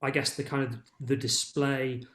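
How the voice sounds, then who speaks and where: A man's voice: medium pitch, little pitch movement, normal speed, a bit bright, echoey room. A man speaking as a researcher on a panel, thoughtful and hedging, with an academic tone. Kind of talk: panel discussion.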